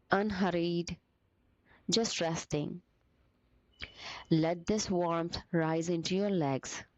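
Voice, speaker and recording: A woman leading a guided meditation as a teacher, with gentle, soothing, deliberate phrasing broken by short pauses. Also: lowish voice, dry recording, muffled recording.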